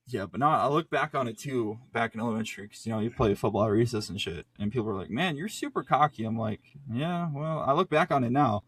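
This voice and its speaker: A man's voice: medium pitch, lively intonation, quick pace, hardly any pauses, a bit bright, breathy edge, dry recording. A young adult man co-hosting a podcast, telling stories casually in slang with lots of quoted asides. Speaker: podcast co-host. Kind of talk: casual chat, storytelling.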